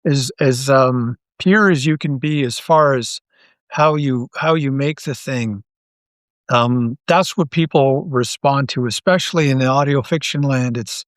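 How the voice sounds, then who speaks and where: A Canadian man talking casually as an interview guest, earnest and halting as he thinks aloud. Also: medium pitch, no room sound, clean studio sound. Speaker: interview guest, audio drama writer and creator.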